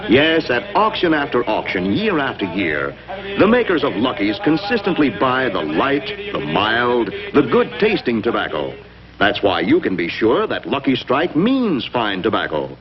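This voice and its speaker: 1950s American announcer, swooping pitch, music behind. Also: male voice, huge pitch swings, moderate pace, highs cut off. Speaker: commercial announcer. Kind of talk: 1950s cigarette commercial narration.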